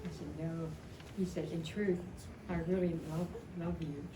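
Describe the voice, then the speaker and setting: A woman in her seventies giving an informal, rambling and emotional personal tribute at a memorial, telling stories in a halting way. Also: deep voice, fairly even intonation. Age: 70s. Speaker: widow speaking at a memorial. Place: indoor family memorial gathering. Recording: echoey, distant mic, noisy gathering room.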